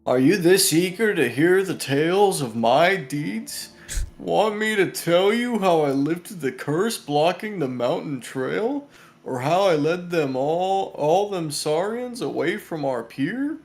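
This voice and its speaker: A streamer voicing a loud, boastful warrior woman from a tribe, reading a fantasy game with brash bragging. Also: mid-range voice, animated, takes it slowly, soft background music.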